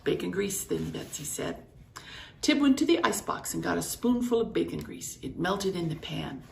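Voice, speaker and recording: A woman with a low, deeply gravelly voice reads a storybook aloud at a steady, matter-of-fact pace. The room echoes around her, with a faint hum behind. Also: normal speed. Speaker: guest reader. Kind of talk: read-aloud storybook narration.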